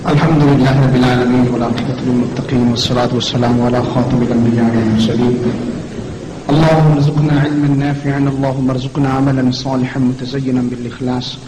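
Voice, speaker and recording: Guy reciting a prayer super fast, flat tone, echoey n noisy. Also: mid-range voice. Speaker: prayer leader. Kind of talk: Arabic supplication recitation. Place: large hall. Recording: echoey room, clear background noise.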